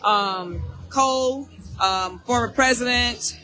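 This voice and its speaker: A hoarse woman, hosting a casual live chat for alumni, talking fast and chatty and repeating herself. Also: fairly high pitch, pushed and bright, soft background music. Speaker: alumni association host.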